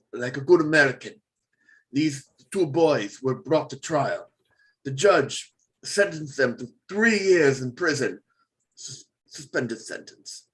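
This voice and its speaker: A wronged Italian immigrant father, played by a man in a film script read aloud. His voice sits somewhat high, halting and full of feeling, pleading for vengeance. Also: sharp tone, exaggerated voice, low-quality recording. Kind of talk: live table read of a film screenplay.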